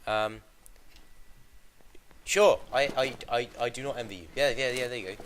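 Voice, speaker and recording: A man's voice: medium pitch, moderate pace, crowd noise. A British teenage boy with a congested, bunged-up voice from a cold, chatting casually as a podcast host and often stammering over repeated words. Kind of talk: casual chat.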